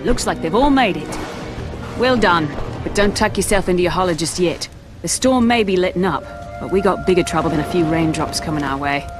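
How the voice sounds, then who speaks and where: A woman's voice: fairly low pitch, animated, brisk, natural voice. A woman voicing an owl resistance commander. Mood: commanding. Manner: urgent orders, briefing. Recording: voice over game music.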